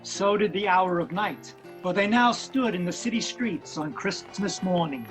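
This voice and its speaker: A storyteller narrates a Victorian Christmas drama. His voice sits high, and music plays clearly behind the scripted, theatrical telling. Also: lively intonation, measured pace, low-quality recording. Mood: dramatic.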